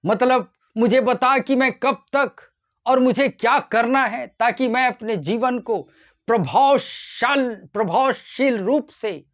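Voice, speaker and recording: A man preaching a short devotional sermon as a pastor, addressing his listeners in a deliberate manner. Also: extremely high pitch, fairly bright tone. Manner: deliberate, repeatedly addressing listeners as dear ones.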